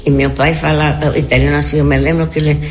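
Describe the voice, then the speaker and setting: Elderly Argentine-accented woman with creaky voice. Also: deep voice, little pitch movement, quick pace, a little hiss or hum. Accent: Argentine Spanish. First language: Spanish. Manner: repeats words and often seeks agreement. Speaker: interview guest. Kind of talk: casual reminiscing interview.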